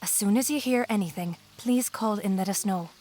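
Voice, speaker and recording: A woman acts as an attentive wife in an audio drama. She speaks politely and soothingly, coaxing and reassuring at a medium pitch. Some background ambience sits behind the voice. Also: normal speed, a little mellow, slight background noise, close to an everyday voice. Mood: politely concerned. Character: soothing, attentive new wife with veiled intentions.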